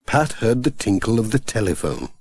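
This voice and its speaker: A man's voice: fairly low pitch, very animated, normal speed, a little mellow, breathy edge, no room sound. A man narrating a scripted children's story as an audiobook reader.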